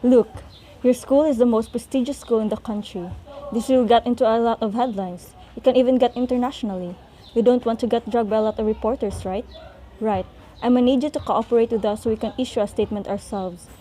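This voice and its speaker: A woman's voice: a little high, fairly even intonation, fast talker, mellow, a little hiss or hum, natural voice. A woman playing a stern, businesslike investigator in a radio drama, formal and insistent. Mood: firm, impatient. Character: stern businesslike female investigator questioning students.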